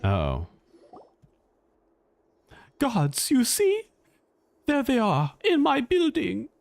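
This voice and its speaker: A man's voice: very high voice, wildly swooping pitch, very slow, creaky, dry recording. A man giving casual, playful live commentary as he streams a game. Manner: playful, mouths sound effects. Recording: game music behind.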